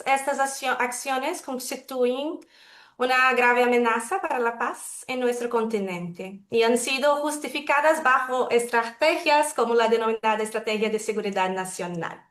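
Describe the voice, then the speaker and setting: A woman from Brazil, speaking as a second language with a Brazilian Portuguese accent, moderating a webinar in a measured, careful way. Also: high voice, a bit bright, roomy sound. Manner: measured, careful, self-correcting. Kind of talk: formal opening remarks. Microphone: video call.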